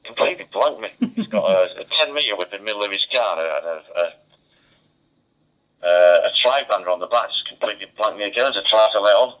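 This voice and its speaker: Middle-aged man, low pitch, chatty amateur radio operator, dull narrow radio sound. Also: animated, fairly quick, a bit bright. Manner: rambling, chatty. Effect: two-way radio transmission. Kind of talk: casual radio chat. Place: mobile, speaking over two-way radio. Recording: muffled digital two-way radio audio.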